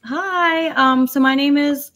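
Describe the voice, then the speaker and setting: A woman's voice: sits high, slow pace, a bit bright. A woman with a South Carolina Southern accent chatting casually as a livestream guest, through dull stream audio. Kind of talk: casual chat.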